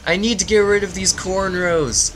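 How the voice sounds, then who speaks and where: Man playing a loud, desperate, exasperated storybook hero. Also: sits high, fairly even intonation, normal speed, slight background noise, pitched above the performer's own voice. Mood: desperate pleading. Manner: shouted, pleading, melodramatic. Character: exasperated, desperate hero begging to lose his hair.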